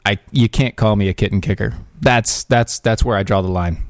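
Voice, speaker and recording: A man's voice: lowish voice, moderate pace, dry recording, studio quality. A man hosting a podcast, giving casual political commentary in a sarcastic, ranting way with frequent restarts and fillers. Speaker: podcast host.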